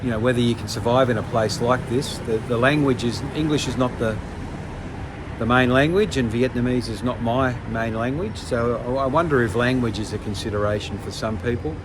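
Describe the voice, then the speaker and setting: Older guy chatting to his vlog cam outside, lots of ums, mid-pitched voice, traffic noise. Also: brisk. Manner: hesitant, many ums and restarts. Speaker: travel vlogger. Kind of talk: casual talk to camera.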